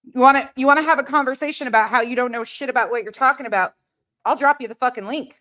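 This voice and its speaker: A woman's voice: sits high, fast, bright, forceful tone. A woman hosting a live reaction stream, with a blunt, combative, sweary way of talking. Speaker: livestream host.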